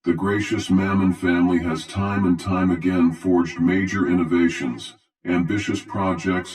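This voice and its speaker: A man's voice: deep voice, close to an everyday voice. A man playing a cold, pompous masked herald in an audio drama, making formal, grandiose announcements in a metallic voice over a television. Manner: formal, grandiose, measured proclamation. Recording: distant-sounding metallic television voice.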